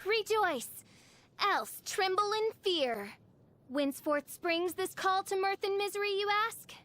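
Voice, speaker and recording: A woman's voice: very high-pitched, animated, a little slow, fairly bright tone, heavily acted. A woman playing a theatrical, self-styled princess girl in a video game, speaking in pompous, archaic, melodramatic royal phrases. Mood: grandiose. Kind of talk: scripted game character voice lines.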